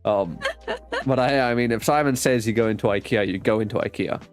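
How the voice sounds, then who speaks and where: A man's voice: medium pitch, brisk, music in the background. A man from South Africa with a South African accent and Afrikaans as his first language, hosting a casual interview, laughing often and restarting his sentences. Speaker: host.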